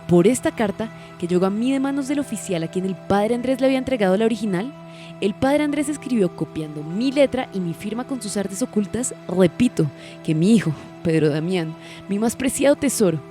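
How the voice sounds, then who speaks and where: A woman's voice: medium pitch, expressive, fairly quick, dark tone, soft background music, lightly acted. A woman playing a refined, theatrically wounded lady witness in an audio drama, formal and melodramatic. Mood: indignant, pained.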